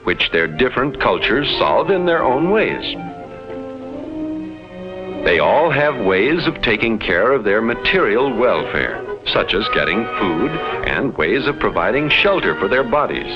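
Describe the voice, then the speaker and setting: Vintage lo-fi 1950s educational film, music underneath, detached alien observer, formal measured narration, lively intonation, precise diction. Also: male voice, fairly low pitch. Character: detached alien explorer reporting on Earth's inhabitants.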